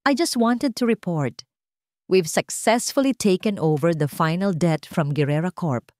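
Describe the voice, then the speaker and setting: A woman's voice: lowish voice, measured pace, a little mellow, dry recording, clean studio sound, close to an everyday voice. A woman with a Filipino accent voicing a deferential male business associate giving a formal report in a story. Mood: businesslike, deferential.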